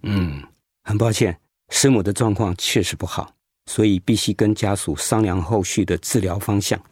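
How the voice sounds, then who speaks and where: Kindly hospital doctor, low husky voice, measured explanations, dry close sound, radio drama. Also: male voice, a little mellow, no echo. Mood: grave, apologetic. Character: gentle male doctor, calm, sympathetic hospital director.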